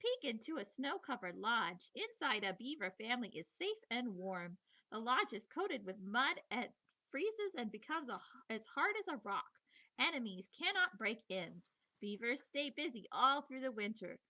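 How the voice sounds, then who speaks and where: A woman teacher reading a children's nature book aloud in an expressive, playful storytelling manner, with chatty asides. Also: a little high, lo-fi sound.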